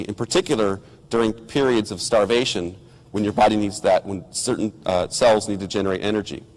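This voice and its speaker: A middle-aged man, hoarse from a cold, giving a measured technical science lecture with occasional ums and restarts. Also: fairly low pitch. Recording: lecture hall with long reverb.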